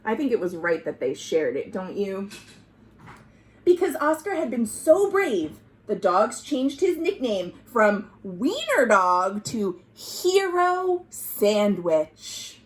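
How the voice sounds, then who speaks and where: A woman's voice: mid-range voice, sing-song, slow. A woman reading a children's storybook aloud as a storytime host, dramatic and exclamatory. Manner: exclamatory, dramatic, asking listeners questions. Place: at home.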